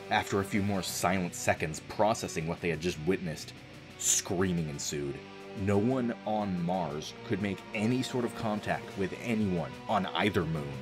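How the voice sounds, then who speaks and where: Audio drama: male actor as brooding space-base janitor, low register, theatrical storyteller, haunted, over a clear music bed. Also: close to an everyday voice. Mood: grave.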